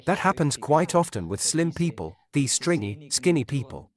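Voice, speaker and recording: A man's voice: fairly high pitch, very animated, moderate pace. A man speaking as a doctor being interviewed, explaining in an assertive, opinionated way.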